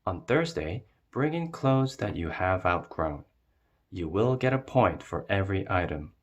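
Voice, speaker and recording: A man's voice: sits low, big pitch swings, unhurried, muffled recording, exaggerated voice. A man voicing a kind, encouraging woman schoolteacher in a children's story, reassuring and instructive.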